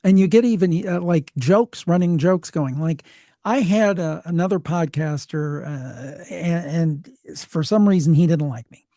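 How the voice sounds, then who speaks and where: Male podcaster, fairly high mellow voice, folksy casual storyteller in conversation. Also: unhurried, dry recording, studio quality. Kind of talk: casual anecdotal chat.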